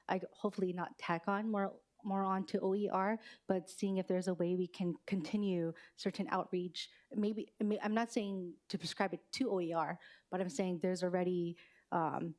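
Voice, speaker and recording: A woman's voice: lowish voice, a little mellow. A woman chairing a city council committee meeting, talking in long hesitant runs with many fillers, and saying she is losing her voice.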